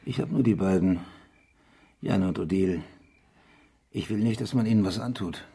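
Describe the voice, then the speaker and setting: Brooding, deep, gravelly villager. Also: male voice, moderate pace, mellow, full character voice. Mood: bitter, pleading. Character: troubled, bitter rural Frenchman, haunted by village violence. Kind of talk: German radio crime drama acting.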